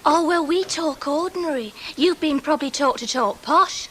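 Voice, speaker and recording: A woman's voice: high voice, moderate pace, a bit bright, a little hiss or hum. A chatty landlady. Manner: chatty, homely, colloquial. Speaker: woman posing as a landlady.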